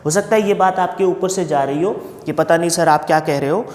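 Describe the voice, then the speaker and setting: A man teaches a math class in a steady lesson voice, his throat a little hoarse. He keeps asking his students questions and answering them himself, repeating each key point. The sound bounces around a bare room and leaves a long echo behind every phrase. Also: a little high, brisk. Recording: echoey room with long reverb.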